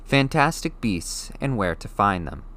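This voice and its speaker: A man's voice: lowish voice, sing-song, a little slow, a bit bright. A man reading a children's novel aloud as scripted audiobook narration.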